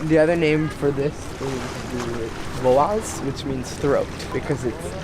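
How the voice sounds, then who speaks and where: A man's voice: medium pitch, slow. A man acting as a local guide, explaining things casually. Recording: noisy, crowded city outdoors.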